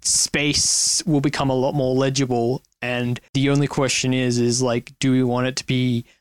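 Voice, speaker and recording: A man speaking as a podcast guest, explaining ideas in quick bursts and leaning heavily on "like" and "um.". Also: mid-range voice, flat delivery, smooth, no echo, clean studio sound. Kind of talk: casual conversational discussion.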